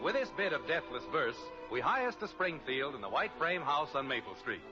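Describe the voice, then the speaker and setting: A man's voice: fairly high pitch, very animated, brisk, music in the background. A man announcing in a scripted, playfully grand 1950s radio manner. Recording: muffled old radio recording.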